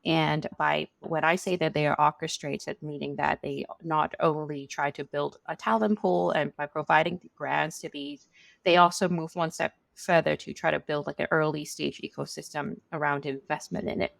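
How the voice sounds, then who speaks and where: A woman's voice: deep voice, steady tone, normal speed, creaky, no echo. A middle-aged woman from Hong Kong who is a venture capital partner, answering interview questions in a chatty way with many "you know"s.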